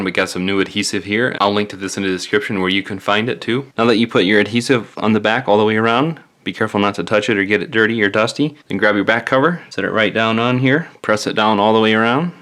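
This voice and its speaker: A man's voice: fairly low pitch, a bit bright. A man giving casual, plain-spoken step-by-step repair instructions as a tutorial host.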